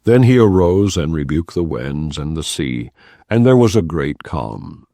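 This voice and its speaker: A man giving a measured, solemn scripture reading. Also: rumbling low, dry recording, studio quality. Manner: measured, solemn, expressive intonation. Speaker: scripture reader.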